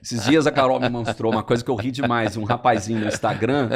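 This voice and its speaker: A Brazilian man on a podcast, explaining history in a lively storytelling way and acting out quotes. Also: fast talker. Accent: Brazilian Portuguese. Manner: lively storyteller, animated swings in pitch, acts out quotes.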